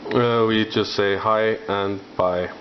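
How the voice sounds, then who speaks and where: A young Icelandic man with an Icelandic accent, rambling about building a scale model with lots of filler sounds and pauses. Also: on the low side, fairly even intonation. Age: young adult. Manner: halting, many filler sounds. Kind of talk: rambling hobby vlog. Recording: dull lo-fi with faint noise.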